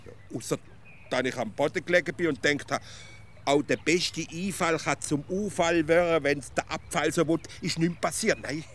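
Man acting a flustered, stammering Swiss simpleton, high-pitched. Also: a bit bright, a little hiss or hum, close to an everyday voice. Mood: earnest explaining. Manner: stammering, halting, indignantly self-justifying. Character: flustered, stubborn provincial simpleton philosopher, male. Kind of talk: comic radio play dialogue.

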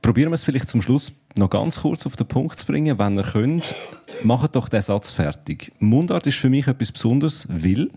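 A man with a Swiss German accent hosting a live talk, prompting his guests in a relaxed way. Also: medium pitch, normal speed, husky. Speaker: host.

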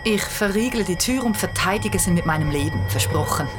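A polite, somewhat bewildered woman traveller in a radio play played by an actress. Her voice is fairly low and natural, a little hesitant, with music underneath. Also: expressive, brisk, natural voice. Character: ordinary Swiss female night-train traveller, polite, slightly bewildered. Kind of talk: radio drama acting.

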